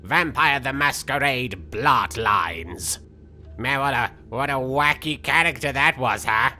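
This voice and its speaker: A flamboyant young cartoon villain doing comic game commentary. The voice is sharp and bright, with theatrical, cocky delivery and petulant quips. Faint game music plays underneath. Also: male voice, medium pitch, takes it slowly. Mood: mocking, amused. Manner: theatrical, vain, quippy. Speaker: in-character let's player. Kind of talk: comedic game commentary in character.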